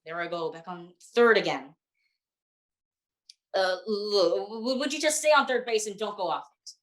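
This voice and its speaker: A woman's voice: medium pitch, normal speed, reverberant, close to an everyday voice. A woman playing an exasperated, bewildered comic sidekick in a 1940s radio comedy routine, stammering and insistent in her questions. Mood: flustered, exasperated.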